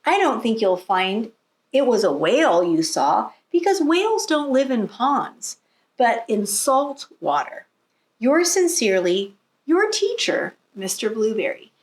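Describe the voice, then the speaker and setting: A woman's voice: fairly high pitch, big pitch swings, unhurried. Polite but firm male schoolteacher for a storybook, read by a woman; formal, patient, very varied intonation. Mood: matter-of-fact.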